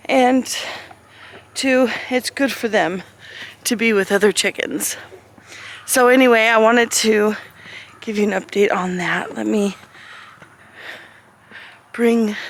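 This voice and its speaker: A woman homesteader filming herself outdoors on a freezing farm, chatting in a loose, rambling way. She speaks slowly and her voice is a bit hoarse. A faint hum of outdoor noise sits behind her. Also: a little high, fairly bright tone. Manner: chatty, rambling, talks to animals. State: slightly hoarse. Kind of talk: casual vlog chat.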